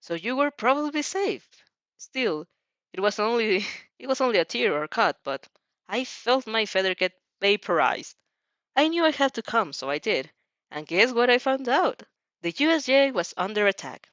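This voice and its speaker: A woman voicing a young male winged hero in an anime fan story, soft, protective and slyly teasing. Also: a little high, very animated, moderate pace, dry recording, muffled recording. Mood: sarcastic, casual. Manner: soft, reassuring, slightly teasing.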